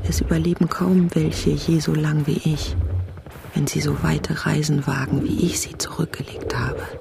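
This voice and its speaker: A woman playing the brooding inner voice of a creature in a literary radio drama, deliberate and fragmentary. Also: sits low, gravelly. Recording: noticeable ambient noise behind voice.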